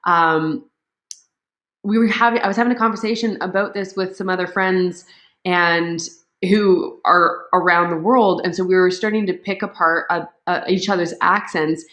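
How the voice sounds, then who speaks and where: A woman's voice: lowish voice, a bit bright. A woman guest speaking thoughtfully in a casual interview. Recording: roomy echo.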